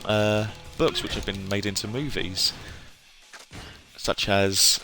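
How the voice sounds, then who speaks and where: A man's voice: fairly low pitch, steady tone, slow, rough recording. A British man chatting casually to viewers on a live stream, rambling and hesitant, often trailing off. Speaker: hobby game streamer. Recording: music playing behind the voice. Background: music.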